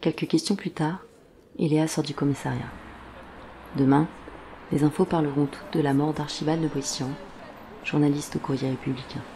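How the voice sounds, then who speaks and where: A narrator of a scripted audio drama, telling the story in a measured, literary manner. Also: medium pitch, fairly even intonation, warm tone, a little hiss or hum. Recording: faint background ambience.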